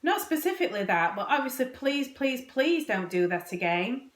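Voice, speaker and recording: A woman puts on an exasperated storybook mother. She calls out and scolds in a raised, sing-song pitch, with noticeable room echo. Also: big pitch swings, moderate pace. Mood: scolding. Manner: bright calling, scolding. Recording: roomy, echoing indoor recording.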